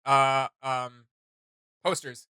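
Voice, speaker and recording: Bright-voiced man, casual reaction host. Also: mid-range voice, steady tone, extremely slow, smooth. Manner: hesitant, searching for words, informal slang. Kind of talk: casual reaction commentary on game artwork.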